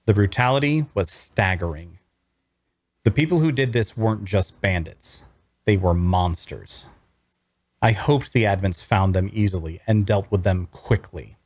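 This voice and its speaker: A man playing a brooding adventurer, narrating his grim journey in a measured, deliberate way at a scripted table read. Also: deep voice, darkish tone, no echo.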